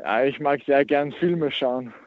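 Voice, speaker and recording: A man's voice: medium pitch, measured pace. A nineteen-year-old man from Austria, a young tennis player, answering interview questions hesitantly and repeating filler words. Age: teens. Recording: tinny phone-like line.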